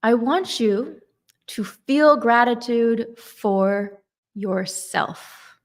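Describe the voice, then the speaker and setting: A woman giving a slow, emphatic motivational talk on manifestation and gratitude. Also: on the high side. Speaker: manifestation coach.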